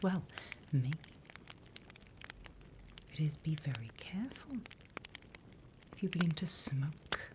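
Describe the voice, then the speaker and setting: A woman's voice: low voice, noisy background. Whispering British woman as a female demon teacher. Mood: softly ominous. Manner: soft, deliberate, instructional, with pauses. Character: female demon teacher, softly menacing, encouraging, prim. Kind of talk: whispered ASMR roleplay.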